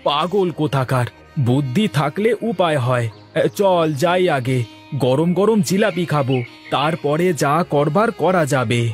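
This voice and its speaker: A man's voice: on the high side, lively intonation, a little slow, soft background music. A man voicing a cunning, pushy village trickster in a cartoon moral story. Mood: restless.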